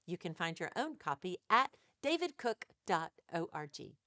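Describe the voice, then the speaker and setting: A woman's voice: on the low side, big pitch swings, unhurried, bright, forceful tone, dry recording, clean studio sound. A woman hosting an interactive read-aloud for young children, exaggerated and questioning as she addresses listeners directly. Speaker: children's storytime host.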